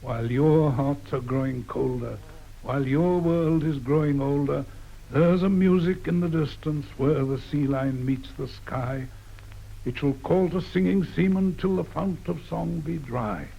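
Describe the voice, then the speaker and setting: A man's voice: on the high side, unhurried, gravelly. A man voicing a grand, ageless fairy-tale king who speaks in a stately, welcoming way, on a dull old tape recording.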